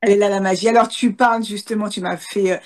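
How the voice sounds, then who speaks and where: A woman interviewing an author, lively and interjecting, often repeating words as she starts. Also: medium pitch, fast talker, over a noisy crowd. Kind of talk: interview questions and comments.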